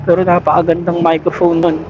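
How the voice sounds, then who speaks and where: A motovlogger with a Manila-area Filipino accent talks in a casual, rambling way. The voice sits at a middling pitch, with clear vehicle noise all around it. It sounds like chat recorded out on a motorcycle at night. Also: completely flat delivery, brisk, dull audio. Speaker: motovlogger testing a camera. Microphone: intercom headset mic, sometimes built-in camera mic.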